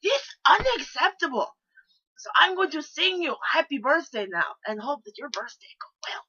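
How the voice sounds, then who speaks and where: Woman doing Boris, a loud indignant Russian. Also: high voice, animated, normal speed, voice pushed up. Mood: outraged. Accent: Russian, put on. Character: Boris, blunt indignant Russian caller giving birthday wishes. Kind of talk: comic prank-call impression. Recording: dull, lo-fi sound.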